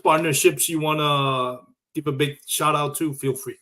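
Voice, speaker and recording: A man's voice: a little high, fairly even intonation, normal speed, pushed and bright. A man from Puerto Rico hosting a casual live interview show, chatting in a conversational way. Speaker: show host.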